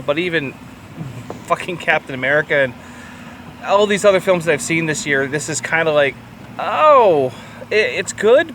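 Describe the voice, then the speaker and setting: Man, fairly high voice, casual podcast review chat, irreverent, profane, some background noise. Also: sharp tone. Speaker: podcast co-host. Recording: clear background urban ambient noise.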